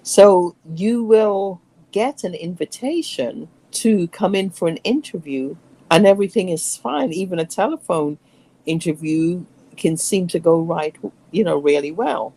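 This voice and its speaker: A woman from England with a lowish voice, co-hosting a podcast. She talks earnestly in long, flowing runs, with quick asides mixed in. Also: animated, warm tone, low-quality recording. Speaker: podcast co-host. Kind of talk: casual podcast discussion.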